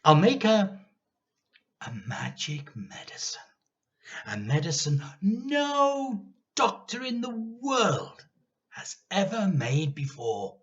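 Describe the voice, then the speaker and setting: Man acting out an excitable, scheming young boy, high-pitched. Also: takes it slowly, dull audio, full character voice. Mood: gleeful, scheming. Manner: excited, emphatic, chanting delivery. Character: mischievous, defiant eight-year-old boy plotting revenge. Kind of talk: dramatised children's storybook reading.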